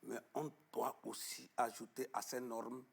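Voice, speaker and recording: A man being interviewed in a television studio, explaining at length with emphasis, often pausing on fillers and repeating words. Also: medium pitch, little pitch movement, creaky, breathy edge. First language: Arabic-educated, French not first. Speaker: guest on traditional knowledge.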